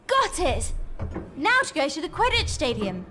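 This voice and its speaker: An actress playing a sensible, concerned British schoolgirl witch in a video game, brisk and practical. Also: high-pitched, huge pitch swings. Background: music.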